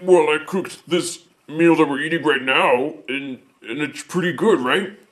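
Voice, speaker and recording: A man plays a naive, hapless guy in a comedy skit. His somewhat high voice is hesitant and stammering, easily flustered. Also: measured pace, fairly bright tone. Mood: sheepish.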